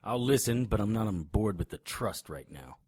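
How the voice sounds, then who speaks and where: An actor playing a hot-tempered private detective in a noir radio drama, gruff, sardonic and confrontational. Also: male voice, on the low side, expressive, moderate pace, darkish tone, no echo.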